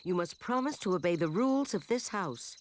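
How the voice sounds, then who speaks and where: A woman's voice: on the low side, lively intonation, brisk, natural voice. Woman voicing a strict, scolding mother bird. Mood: stern, scolding. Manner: stern, commanding. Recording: music behind the voice.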